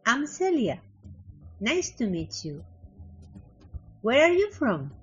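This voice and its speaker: A woman speaking slow, careful lines in a scripted language-lesson dialogue. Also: on the high side, wildly swooping pitch. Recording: dull lo-fi audio with faint music.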